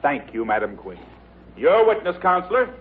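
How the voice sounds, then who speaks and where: An actor playing a theatrical trial attorney in an old radio sitcom, speaking in a pompous, deliberate, pressing way. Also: male voice, a little high, very animated. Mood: formal. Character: stern, theatrical male trial attorney cross-examining witnesses. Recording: tinny noisy old radio, studio audience.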